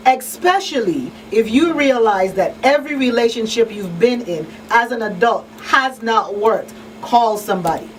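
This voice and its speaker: A woman's voice: on the high side, lively intonation, normal speed, few pauses. A woman hosting an informal panel discussion, speaking emphatically and repeating points for weight as she gives advice. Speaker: radio talk show host and crisis counselor. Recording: distant microphone, noisy room ambience.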